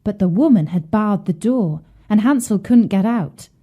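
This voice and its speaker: A woman reading a scripted children's bedtime story in a measured, expressive way. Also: medium pitch, narrow, tinny audio.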